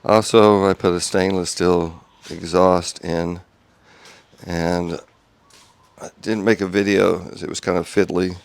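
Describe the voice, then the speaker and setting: Male hobby car restorer, deep gravelly voice, flat monotone delivery, quiet and halting. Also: no echo. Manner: quiet monotone talk with many ums and restarts. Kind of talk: casual workshop update video. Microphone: small clip-on wireless mic.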